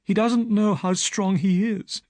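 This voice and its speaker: Frightened young woman, high and pleading, read by a man. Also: steady tone, a little slow, a little mellow, dry recording. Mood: fearful. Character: frightened young woman telling her story.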